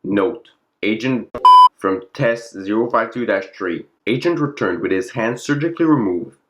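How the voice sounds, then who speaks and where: A man's voice: fairly low pitch, expressive, normal speed, slight background noise. A man narrating a fictional classified document in a formal, clinical log-reading manner. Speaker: narrator and presenter of the channel.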